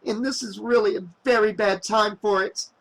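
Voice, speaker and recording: A man's voice: sits high, fairly even intonation, moderate pace, vocal fry, fairly bright tone, pitched above the performer's own voice, poor audio. A man voicing a desperate young woman who screams and pleads through tears. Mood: frantic.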